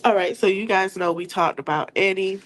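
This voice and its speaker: A woman podcast host with a stuffy nose, recapping a trial in casual chat and calling her viewers y'all. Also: mid-range voice. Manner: chatty, drawn-out, addresses viewers as y'all.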